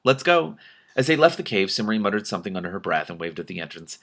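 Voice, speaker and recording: A man with a fairly low voice reads a story aloud as host. He is an amateur reader, expressive and informal, mixing narration with his own casual remarks. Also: very fast. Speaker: host reading a book aloud with commentary.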